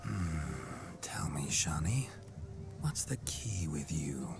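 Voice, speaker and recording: An actor playing a gruff, flirtatious man possessed by a noble ghost, with a deep voice that drops near a whisper. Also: big pitch swings, full character voice. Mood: flirting. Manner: slow, wry, seductive drawl. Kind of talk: video game cutscene voice acting. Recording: game soundtrack music behind.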